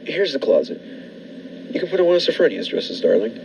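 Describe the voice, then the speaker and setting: A man playing a sinister, falsely solicitous captor in a 1940s-style radio thriller, insinuating and unhurried. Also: medium pitch, big pitch swings, creaky. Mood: insinuating menace. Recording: dull lo-fi recording, faint noise.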